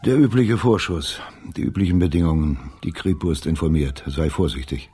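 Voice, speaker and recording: A man's voice: rumbling low, normal speed, mellow, slightly rough, full character voice. An actor playing a terse, businesslike boss briefing his investigator in a radio crime drama, matter-of-fact in manner.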